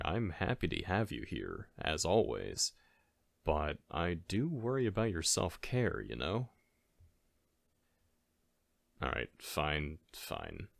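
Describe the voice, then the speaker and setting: A man playing a caring, goofy best friend in a soft-spoken ASMR audio roleplay, talking in a halting, gently chiding, conversational way. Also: extremely low pitch, vocal fry, dry recording, exaggerated voice. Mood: concerned, chiding.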